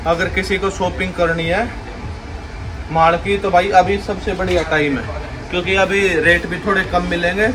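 A young man giving a repetitive, pushy sales pitch for wholesale coats in a big indoor warehouse, filmed on a handheld phone. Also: fairly even intonation, moderate pace, rough recording. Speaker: wholesale clothing seller. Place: large indoor clothing warehouse.

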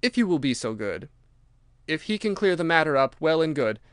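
A man voicing a calm, precise detective in an audiobook, measured and assured. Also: a little high, clear, resonant tone, no echo, clean studio sound. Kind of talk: audiobook character reading.

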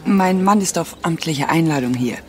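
An actress playing a practical, politely reserved wife in a radio crime drama. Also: female voice, sits low, animated, moderate pace.

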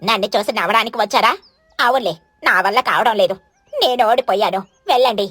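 A woman's voice: mid-range voice, brisk, a bit bright, breathy edge, no echo, faint music behind. A woman voicing a lazy, stingy mother crow in a children's story, with a complaining, wheedling manner. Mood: angry, defeated.

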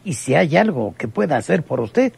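A small-town mayor in a radio drama, heavily creaky and hoarse-edged. He talks in stiff official phrases broken by constant hems, his pitch swinging widely. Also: very animated, moderate pace, darkish tone, full character voice. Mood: solemn, sympathetic. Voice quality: gravelly, husky. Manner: constant "eh" hesitations, stammering officialese. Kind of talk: old radionovela acting.

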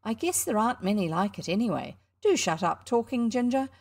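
A woman voicing a cocky young schoolboy showman, loud and indignant as he hawks his show. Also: fairly high pitch, lively intonation, fairly quick, pitched above the performer's own voice. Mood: boastful.

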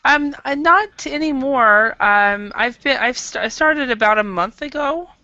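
A woman's voice: high-pitched, animated, unhurried, pushed and bright, no echo, highs cut off. A young American woman from Idaho teaching an online English class, chatty and friendly, with lots of ums and uhs. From Idaho, USA. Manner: chatty, friendly, with many um and uh fillers. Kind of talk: casual online language lesson. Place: small room at home. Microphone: headset mic.

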